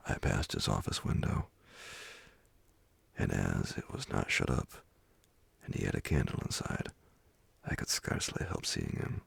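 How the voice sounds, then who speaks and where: A man voicing a genial husband chatting to his wife in a breathy whisper for a bedtime story. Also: a bass voice, animated, unhurried, pauses often, a bit bright, dry recording, pitched below the performer's own voice, heavily acted. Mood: gently gossiping.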